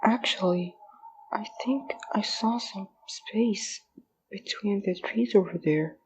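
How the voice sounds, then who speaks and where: A woman voicing a shy, gentle ghost in an audio drama, hesitant and apologetic, with a breathy half-whisper. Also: medium pitch, slow pace, slight background noise, lightly acted, low-quality recording. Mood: nervous, hesitant. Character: shy, gentle floating ghost, unsure of itself.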